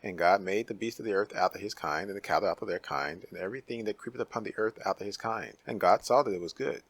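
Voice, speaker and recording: Deep, husky male scripture narrator. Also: fast. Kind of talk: dramatic scripture reading.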